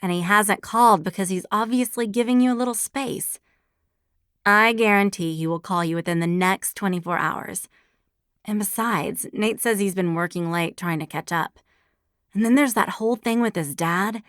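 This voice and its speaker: A woman's voice: mid-range voice, normal speed, fairly bright tone, clear, resonant tone, no room sound, studio quality, close to an everyday voice. Woman voicing a bossy teasing girlfriend. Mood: gleeful. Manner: teasing, pushy.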